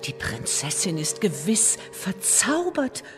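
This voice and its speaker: A woman's voice: medium pitch, huge pitch swings, slow, music in the background. An actress playing a concerned, gossiping woman of the royal court in a fairy-tale audio play. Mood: worried.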